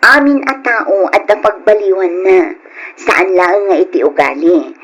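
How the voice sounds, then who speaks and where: A proud and resentful younger-generation heroine, acted by a woman in a radio drama. Her voice is a little husky, at a middling pitch, with emphatic, defiant delivery. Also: unhurried. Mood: defensive. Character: proud, resentful ambitious daughter out for payback. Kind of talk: Ilocano radio drama acting.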